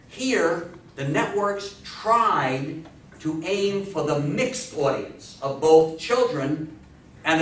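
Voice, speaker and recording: A man in his sixties giving an animated talk to an audience, telling stories emphatically, repeating phrases and asking questions. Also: fairly high pitch. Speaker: lecturer on old-time radio history. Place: meeting room with seated older audience. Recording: roomy, distant camera mic with background noise.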